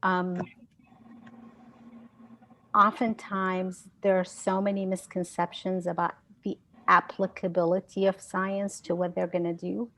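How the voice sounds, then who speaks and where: A woman's voice: fairly low pitch, level tone, measured pace, a bit bright, poor audio. A woman speaking as a guest science educator in an online seminar, earnest and conversational, with frequent restarts.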